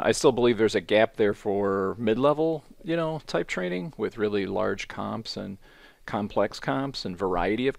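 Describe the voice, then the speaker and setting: Gravelly, dry-sounding male host. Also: on the low side, dry recording. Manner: rambling, chatty, lots of fillers. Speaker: podcast host. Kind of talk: casual interview hosting.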